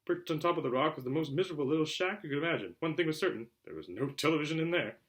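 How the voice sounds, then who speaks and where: A man reading a storybook aloud as a narrator, in an expressive, theatrical style. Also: fairly high pitch, rapid-fire. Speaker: audiobook reader.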